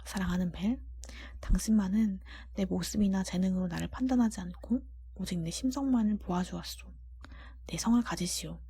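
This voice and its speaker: A lovelorn cursed beast prince from a storybook, as a woman reads him, talks in a very breathy whisper. The voice is mellow and muted, with solemn, old-fashioned formality. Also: medium pitch, fairly quick, no room sound. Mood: solemn devotion.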